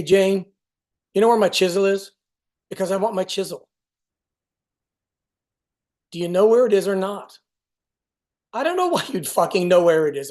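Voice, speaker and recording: A man's voice: high-pitched, a little mellow. An actor playing an irritable, defensive father in a staged reading of a play, agitated and argumentative. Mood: irritated. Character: irritable, foul-mouthed father, wounded and defensive.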